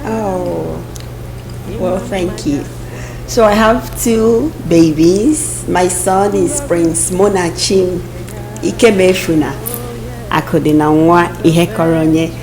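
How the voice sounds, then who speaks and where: A woman in her thirties, an interview guest, speaking in an earnest, measured and reflective way. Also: fairly low pitch, fairly even intonation, a little mellow, slight background noise, low-quality recording. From Nigeria. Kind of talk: conversational interview.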